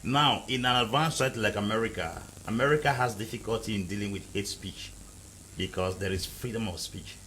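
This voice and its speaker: A man's voice: fairly low pitch, fairly quick, bright, forceful tone, slight background noise, low-quality recording. A man from Cameroon arguing emphatically as a panel guest in a heated debate, repeating and driving home his points. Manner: emphatic, repetitive, lecturing.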